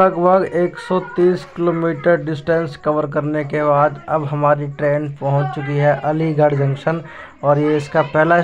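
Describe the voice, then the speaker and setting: A man in his twenties talking casually to viewers as a travel vlogger, explaining things as he goes. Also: fairly high pitch. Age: 20s. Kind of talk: casual Hindi vlog narration to viewers. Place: outdoors, railway platforms and inside trains. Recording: muffled phone audio, loud station and vehicle noise.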